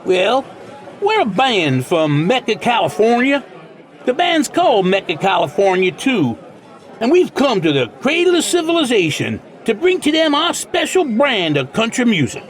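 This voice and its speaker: An actor playing a brash, folksy American country band leader with a Southern accent and a boastful drawl in a radio play. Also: male voice, very high voice, wildly swooping pitch, moderate pace, exaggerated voice. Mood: proudly boastful. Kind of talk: radio drama acting.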